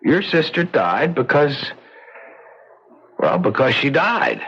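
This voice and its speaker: A man's voice: medium pitch, wildly swooping pitch, takes it slowly, creaky, a bit bright, breathy edge, full character voice. An actor playing an easygoing, kindly young man who tends bar, in a 1970s radio drama. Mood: concerned, gentle. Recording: muffled 1970s radio recording.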